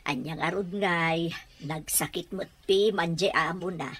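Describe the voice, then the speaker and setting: A woman playing a worried wife in a radio drama, with a husky voice and an Ilocano Filipino accent. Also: on the low side, unhurried, a bit bright. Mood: concerned.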